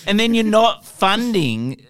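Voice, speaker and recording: A man's voice: sits high, very animated, slow, a bit bright. A man co-hosting a podcast in casual chat, teasing his companions. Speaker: podcast host.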